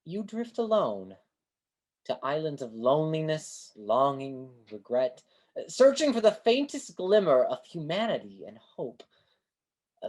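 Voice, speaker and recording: A woman's voice: rumbling low, exaggerated voice. A woman playing a grandiose, kindly street fortune-teller with florid delivery in a staged play reading over a video call. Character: Great Banzini, grandiose theatrical male street fortune-teller, kindly mystic.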